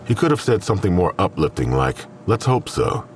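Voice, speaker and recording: A man's voice: sits low, sing-song, a little fast, a little hiss or hum. A man narrating a scripted crime audio drama as its storyteller.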